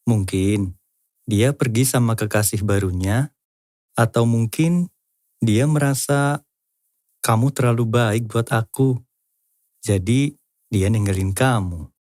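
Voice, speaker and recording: A man's voice: lowish voice, a little mellow, no room sound, studio quality. A man hosting an explainer, reading scripted narration in an informal, lightly joking way with casual asides. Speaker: host of a lyric-analysis channel.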